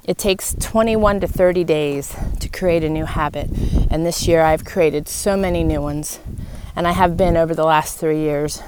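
Low-voiced woman podcaster over clear background noise. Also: normal speed, a little mellow, rough recording. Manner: earnest, encouraging, emphatic with repeated phrases. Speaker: podcast host. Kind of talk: motivational faith-led podcast monologue.

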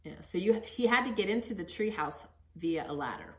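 A middle-aged woman with a somewhat low voice hosts a casual conversation. She talks in an encouraging way, and the room adds a clear echo around her words. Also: very animated, brisk, fairly bright tone. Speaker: podcast host interviewing her son.

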